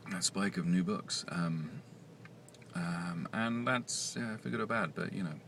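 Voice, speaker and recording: A man who writes comics, talking quietly and hesitantly in a casual vlog monologue, with many pauses and fillers. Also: deep voice, vocal fry, a little hiss or hum.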